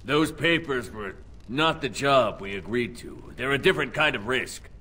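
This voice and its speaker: A man's voice: mid-range voice, lively intonation, normal speed, bright, forceful tone, husky. A man playing a cynical, world-weary rogue in a fantasy video game, talking tersely and wryly. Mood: terse, resigned. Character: gruff, cynical male rogue in a thieving crew. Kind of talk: video game cutscene acting.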